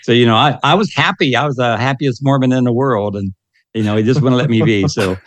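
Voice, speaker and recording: A man co-hosting an interview, asking questions haltingly with many fillers. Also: mid-range voice, dry recording. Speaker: podcast co-host. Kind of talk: conversational interviewing.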